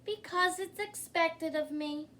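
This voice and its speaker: A woman's voice: very high-pitched, takes it slowly, bright, forceful tone, pitched above the performer's own voice, heavily acted. A woman voicing a timid, tearful male dragon in a children's storybook, with a sighing, pleading delivery. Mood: reluctant, insecure.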